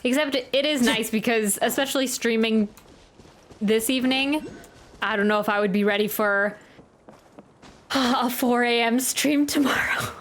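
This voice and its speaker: High-voiced woman reacting to a game. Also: a little slow, pauses often, a bit bright. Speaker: game streamer. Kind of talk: casual reaction commentary. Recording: game music under the voice. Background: video game music.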